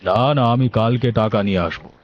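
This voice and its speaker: A man voicing a sly, condescending land broker in a Bangla cartoon, with a measured, hinting delivery. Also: lowish voice, highs cut off, a little hiss or hum, natural voice. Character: sly bribe-seeking land broker gentleman.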